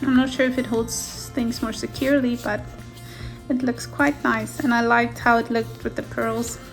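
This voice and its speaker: A woman whose first language is Afrikaans, gently showing a handmade journal page by page in a casual flip-through. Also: fairly high pitch, fairly even intonation, faint music behind, low-quality recording. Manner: gentle, softly enthusiastic, unhurried. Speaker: junk journal maker. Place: indoors at a craft table.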